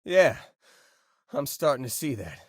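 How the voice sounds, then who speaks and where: Easygoing space miner, lively pitch swings. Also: male voice, a little slow, husky, dry recording, studio quality. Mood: laid-back. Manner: casual, wisecracking, drawling slang. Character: easygoing, joking young male space miner crewmate. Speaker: voice actor for a video game companion. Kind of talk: video game voice lines, conversational.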